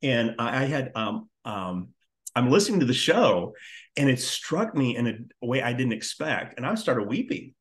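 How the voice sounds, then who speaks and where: Effusive middle-aged man hosting, mid-pitched. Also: sing-song, normal speed. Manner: effusive, earnest, repeats words. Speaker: podcast host, interviewer. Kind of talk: casual, conversational hosting. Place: living room at home, on a video call.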